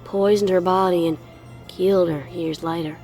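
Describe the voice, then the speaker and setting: A woman's voice: fairly low pitch, fairly even intonation, normal speed, darkish tone, soft background music, lightly acted. A woman voicing a troubled young pony who narrates her secret horror story in a brooding, folksy way. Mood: haunted confession. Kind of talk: dramatic first-person horror storytelling.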